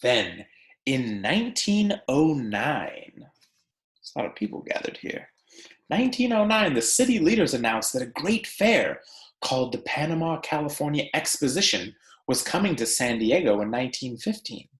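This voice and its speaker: A man reading a picture book aloud like a teacher, with deliberate, emphatic phrasing and conversational asides for young listeners. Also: mid-range voice, very animated, a bit bright, echoey room.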